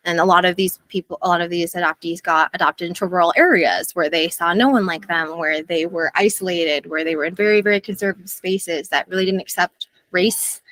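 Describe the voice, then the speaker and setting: A woman's voice: lowish voice, steady tone, fairly quick, a bit bright, no echo, poor audio. A young adult woman speaking as an interview guest, earnest and thoughtful, with frequent fillers. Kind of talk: reflective interview conversation.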